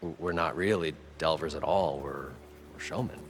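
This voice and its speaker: A man's voice: extremely low pitch, normal speed, a little hiss or hum. An actor playing an eager inventor and showman, with dramatic, flowery storytelling in a video game. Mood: proud, theatrical. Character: eager, theatrical male inventor and showman chasing treasure. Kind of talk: video game cutscene acting. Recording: music behind the voice.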